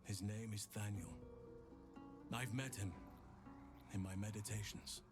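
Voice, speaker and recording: A man's voice: on the low side, steady tone, measured pace, lightly acted. A man playing a grave, reverent druid with poetic speech in a video game. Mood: solemn, reverent. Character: solemn, reverent nature-priest druid man. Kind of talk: video game character acting. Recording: loud music behind the voice.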